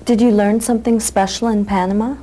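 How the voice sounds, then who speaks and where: A woman presenting a lesson, asking interview questions in a clear, measured way. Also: medium pitch, fairly even intonation, dark tone, a little hiss or hum. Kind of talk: scripted educational interview.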